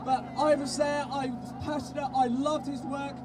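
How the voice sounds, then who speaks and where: A man's voice: very high-pitched, little pitch movement, moderate pace. A man from Norwich giving an impassioned, emotional speech at an outdoor rally. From Norwich, England. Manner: emotional, raises voice to a shout. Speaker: rally supporter speaking to the crowd. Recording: loud crowd and street noise.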